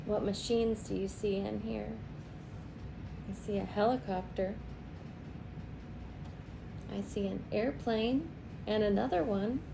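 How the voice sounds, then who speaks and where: A woman reading a picture book aloud to young children as a teacher, expressive and pausing to ask them questions. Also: mid-range voice, gravelly, background noise.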